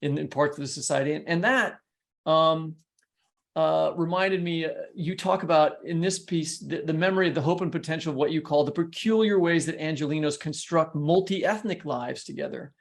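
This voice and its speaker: Guy podcast host, voice a bit on the high side, chatty interview style, rambles into his questions. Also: normal speed, vocal fry. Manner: discursive, builds long questions. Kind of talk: conversational literary interview.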